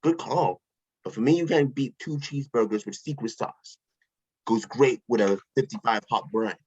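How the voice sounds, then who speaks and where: A man's voice: medium pitch, moderate pace, darkish tone, breathy edge, lightly acted. A man reading a breezy, casual billionaire in an online table read, with a loose, casual delivery. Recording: dull lo-fi home mic.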